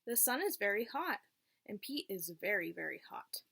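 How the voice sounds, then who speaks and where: A woman's voice: medium pitch, big pitch swings, normal speed, creaky, pushed and bright, breathy edge. A woman reading a picture book aloud to young children, teacher-like, in simple phrases and checking in with questions.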